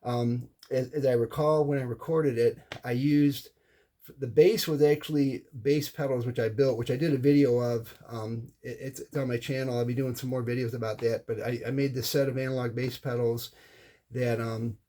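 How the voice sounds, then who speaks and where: Mellow middle-aged American man, halting explainer. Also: medium pitch, fairly even intonation. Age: middle-aged or older. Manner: halting, with repeated words and false starts. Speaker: hobbyist presenter. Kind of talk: informal unscripted explanation to camera.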